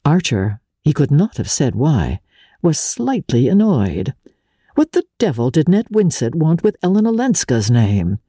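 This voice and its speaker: Mellow, expressive woman narrating a classic novel. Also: deep voice, slightly rough, no echo, studio quality. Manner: measured, expressive storytelling. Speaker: audiobook narrator. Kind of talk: dramatic reading of classic literature.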